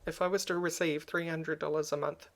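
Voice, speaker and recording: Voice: medium pitch, flat delivery, brisk. A YouTuber with a crackly voice, talking in a subdued and hesitant way in a personal vlog, with frequent ums. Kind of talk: personal vlog talk to camera.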